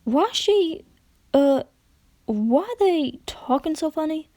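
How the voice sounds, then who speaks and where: A woman's voice: high voice, lively intonation, slow, warm tone, no echo, clean studio sound, voice pushed up. A woman voicing an earnest, plainspoken country pony mare with an American Southern accent and a folksy, emotional manner. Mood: bewildered.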